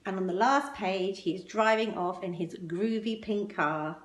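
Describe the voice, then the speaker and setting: A woman reading a children's picture book aloud expressively, with measured, emphatic phrasing and pointed pauses. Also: medium pitch, a little hiss or hum. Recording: roomy, echoing indoor sound.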